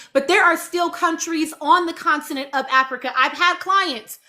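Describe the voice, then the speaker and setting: Woman live host w a high bright voice, really emphatic, repeats herself to drive it home. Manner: emphatic, repeats phrases for stress, urging listeners. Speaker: live-stream host reading and answering audience comments. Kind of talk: impassioned informal live commentary.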